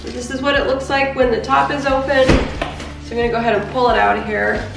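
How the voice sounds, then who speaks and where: Casting note: excitable woman vlogger with a head cold, gravelly fry, recorded off-mic in an echoey kitchen. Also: high-pitched, fast, recorded from a distance. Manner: chatty and excitable, narrating as she unpacks. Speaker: home cooking vlogger. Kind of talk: casual unboxing vlog. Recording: echoey room, off-mic, music underneath.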